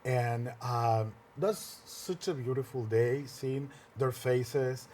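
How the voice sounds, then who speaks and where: A man whose first language is Spanish speaks in an earnest, conversational way. He pauses often and restarts his sentences. His voice sits at a middle pitch, and faint noise hangs behind it in the studio. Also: darkish tone. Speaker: filmmaker and workshop teacher. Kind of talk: conversational interview answers.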